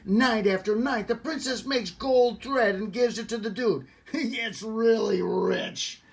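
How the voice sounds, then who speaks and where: A man's voice: high voice, measured pace, gravelly. An older man playing a cocky, impatient boy who tells a macho storybook tale in blunt, exasperated slang. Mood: cocky storytelling. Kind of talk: animated storybook read-aloud.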